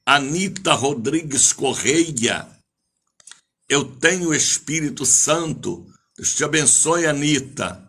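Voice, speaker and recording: A man's voice: fairly high pitch, lively intonation, slow pace, sharp tone, slightly rough. An older man preaching as an evangelical bishop on a live radio service, declamatory, repeating phrases and drawing out names as he greets listeners.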